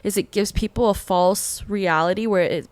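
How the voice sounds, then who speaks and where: A woman's voice: mid-range voice, moderate pace, a little mellow, clear, resonant tone, no room sound. A young woman co-hosting a podcast, chatting casually in an agreeable, reflective way and thinking aloud. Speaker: podcast co-host. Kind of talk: casual chat.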